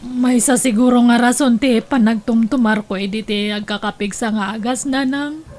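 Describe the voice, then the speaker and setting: A woman's voice: fairly high pitch, fairly even intonation, normal speed, darkish tone, close to an everyday voice. A woman playing a young village daughter in an audio drama, speaking with an Ilocano Filipino accent. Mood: downcast. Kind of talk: melodramatic radio-style drama acting.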